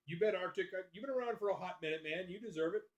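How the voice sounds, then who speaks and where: A man hosts a casual live stream, answering his viewers one by one in a loose, profane way. His voice sits a bit high for a man. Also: rapid-fire. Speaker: livestream host.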